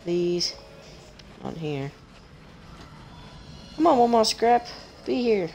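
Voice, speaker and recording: A woman with a deep voice, chatting casually as she plays a game on stream, joking at herself and humming while she searches. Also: lively intonation, takes it slowly, darkish tone, soft background music. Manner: chatty, self-mocking, giggly, hums while searching. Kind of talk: casual gameplay commentary.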